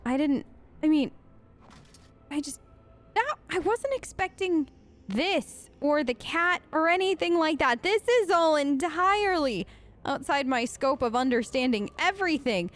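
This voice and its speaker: A woman's voice: sits high, expressive, measured pace. A woman doing improvised role-play commentary over a video game in a sassy, sarcastic, conversational way. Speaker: gaming video host.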